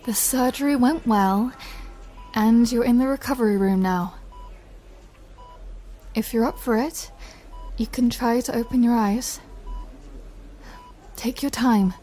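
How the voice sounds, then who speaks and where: A woman playing a gentle, patient recovery-room clinician, speaking softly in an ASMR roleplay. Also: on the high side, takes it slowly, pauses often, faint music behind. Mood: gentle, reassuring. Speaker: voice actor in an audio roleplay. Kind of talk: soft ASMR comfort roleplay.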